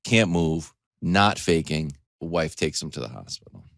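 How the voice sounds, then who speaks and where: A man in his forties, a sports talk host, telling a comedic story in a casual, animated way with choppy asides and acted-out quotes. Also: a bass voice, a little slow, vocal fry, no room sound, studio quality. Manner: animated, acts out quotes in dialogue.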